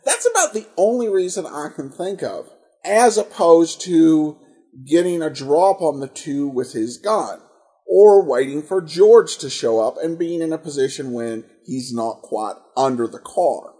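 A man's voice: on the high side, expressive, measured pace, warm tone, husky. A man hosting a podcast, giving conversational, dryly critical commentary with hesitant phrasing. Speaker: podcast host.

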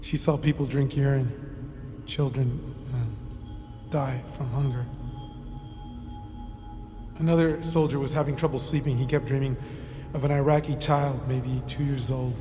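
An actor playing a troubled army therapist in an audio drama, haunted and burdened, with a halting delivery. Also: male voice, mid-range voice, steady tone, lightly acted. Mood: somber, haunted. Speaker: military psychologist. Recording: long reverb, music underneath.

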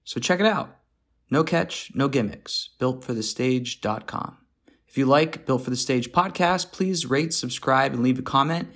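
A man's voice: mid-range voice, a little slow, fairly bright tone. Man, podcast host, casual chat, chatty, upbeat.

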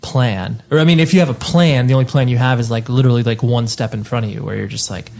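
A man's voice: mid-range voice, quick pace, creaky, a little mellow, a little hiss or hum. A man hosting a casual interview, asking questions and chiming in with short agreements. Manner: friendly, chimes in with short agreements. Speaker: interviewer, podcast host.

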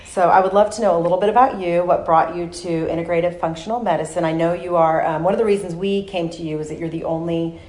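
Woman podcast host, slightly low voice. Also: fairly even intonation, quick pace, few pauses, darkish tone. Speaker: podcast host and health coach. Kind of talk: podcast interview and scripted promo.

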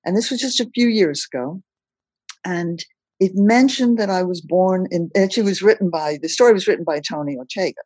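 An older woman from Belgium, recounting her life story as a podcast guest in a measured, plain-spoken way. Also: on the low side, darkish tone, slightly rough, no room sound. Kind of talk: personal storytelling in a podcast interview.

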